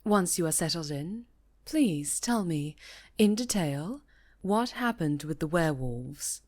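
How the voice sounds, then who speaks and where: A stern high enchantress and mentor of witches, played by a woman, speaks in a lowish, very measured voice. She is proud and guarded, with courteous, formal wording in an ASMR fantasy roleplay. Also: lively intonation, a little mellow, full character voice. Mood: gracious, measured.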